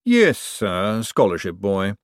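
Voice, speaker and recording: A man voicing a quiet, deferential male servant who is also an assassin, speaking in a calm, flat way. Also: fairly low pitch, slow, no echo, clean studio sound, full character voice.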